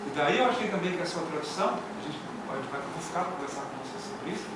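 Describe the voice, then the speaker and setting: A man's voice: a little high, animated, fast talker. A man with a Brazilian Portuguese accent giving emphatic, didactic feedback as a theatre teacher, repeating his key phrases. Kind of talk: spoken critique at a post-performance discussion. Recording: echoey room from far off, noisy.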